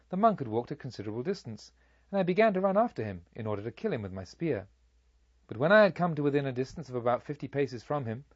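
A proud robber chief, played by a male audiobook reader, recounts his deeds in formal, measured phrases. His mid-pitched voice rises and falls dramatically, with vengeful indignation. The recording is dry and a little dull. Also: dry recording. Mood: intent. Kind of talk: audiobook story narration in character.

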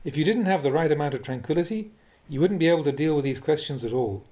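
A man, a Buddhist monk, reading spiritual teachings aloud in a measured, deliberate, instructive way, like an audiobook of a talk. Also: a little high, warm tone. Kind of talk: audiobook-style reading of a dharma talk with questions and answers.

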